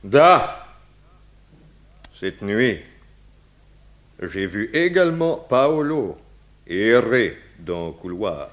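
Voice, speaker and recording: A man's voice: on the low side, big pitch swings, exaggerated voice. An actor playing an eccentric, philosophical Russian émigré with a Russian accent, grandiloquent and cryptic, dropping his articles in a 1960s French radio crime drama. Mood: solemn, cryptic. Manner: slow, grandiloquent, broken grammar with dropped articles.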